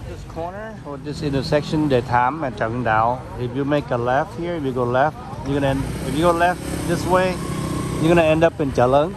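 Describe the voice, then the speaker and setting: A man's voice: fairly high pitch, brisk. A man narrating a casual walking tour outdoors, rambling and pointing things out as he goes, often restarting his sentences. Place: outdoors on a busy city street. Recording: clear street traffic noise. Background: passing scooters and city traffic.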